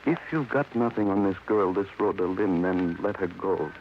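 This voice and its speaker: An actor playing a kindly, talkative, wry doctor in a vintage radio drama, folksy and avuncular. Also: male voice, sits low, moderate pace. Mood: earnest pleading. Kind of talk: 1950s radio drama acting. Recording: muffled, hissy vintage radio recording.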